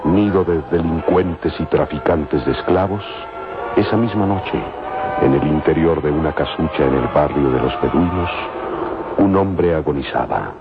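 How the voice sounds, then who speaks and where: Very deep-voiced solemn male radio narrator. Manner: solemn, portentous, deliberate phrasing. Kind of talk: dramatic scripted radio serial narration. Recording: lo-fi radio serial with loud music.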